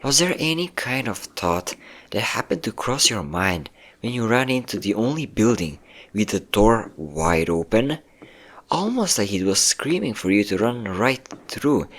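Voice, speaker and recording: A man's voice: on the low side, sing-song, unhurried, fairly bright tone, heavily acted. A man playing a possessive, manipulative ex-boyfriend in a dramatic ASMR roleplay, coaxing with pet names and sweet-talking menace. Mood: menacing, smug. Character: possessive, manipulative yandere ex-boyfriend hunting his hidden partner.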